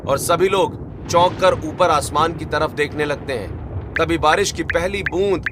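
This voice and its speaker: A man's voice: a little high, unhurried, background noise. A man giving scripted narration of a story as its narrator.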